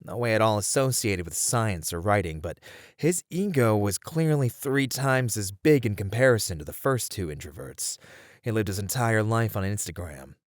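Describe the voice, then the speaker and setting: A man's voice: lowish voice, animated, normal speed, no echo, studio quality, close to an everyday voice. A man acting as a weary detective in an ASMR roleplay, musing conversationally over a murder case in a casual way. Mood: wry. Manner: casual, musing, sighing delivery. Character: weary male detective puzzling over a serial killer case.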